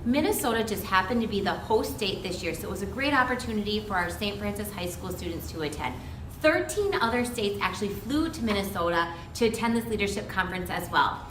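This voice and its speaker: Woman giving interview answers, medium pitch, off-mic, very echoey. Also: animated, fairly quick. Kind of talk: interview explanation. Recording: echoey, distant, noisy room.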